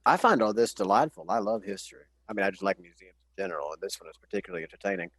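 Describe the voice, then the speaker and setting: A man playing a polite, measured character in an online tabletop roleplay session. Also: on the low side, a bit bright, dry recording. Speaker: player.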